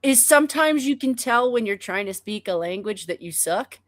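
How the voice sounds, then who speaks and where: Woman, casual co-host, opinionated, joking, mid pitch, dry sound. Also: lively intonation, no room sound. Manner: opinionated, quick to laugh and joke. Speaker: podcast co-host. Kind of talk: casual chat.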